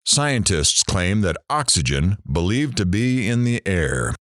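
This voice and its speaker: Male actor as brash radio host, low pitch, lively intonation, dry close sound, theatrical, sarcastic, middle-aged, audio drama. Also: unhurried, dry recording, heavily acted. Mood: brash, sardonic. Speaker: radio talk show host.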